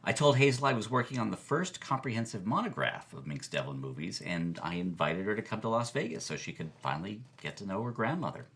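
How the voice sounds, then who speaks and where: An actor playing an earnest, wordy, enthusiastic film scholar in a podcast-style audio drama. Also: male voice, fairly low pitch, very animated, quick pace, few pauses, a bit bright. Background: music.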